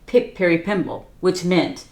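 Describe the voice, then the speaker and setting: A woman's voice: fairly low pitch, expressive, unhurried, darkish tone, echoey room. A woman reading a children's picture book aloud as a teacher, with chatty asides to young listeners. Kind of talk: storybook read-aloud.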